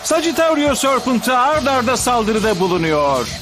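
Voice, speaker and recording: A man playing an excitable young onlooker in a dubbed anime, giving astonished, dramatic commentary on a battle. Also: very high-pitched, moderate pace. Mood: excited. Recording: loud music under the voice.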